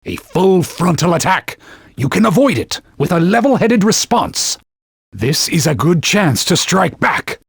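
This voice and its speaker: Talking sword, game mentor: stern, pompous, archaic scolding, highly animated delivery, raspy edge, very dry studio sound. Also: male voice, unhurried, dry recording, full character voice. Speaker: video game companion guide. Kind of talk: video game voice acting, short combat callouts.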